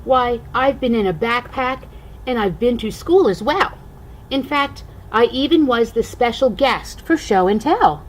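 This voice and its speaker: An older woman, a grandmother, reading a rhyming children's storybook aloud in an expressive, singsong way. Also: fairly high pitch, a little slow, slight background noise, rough recording.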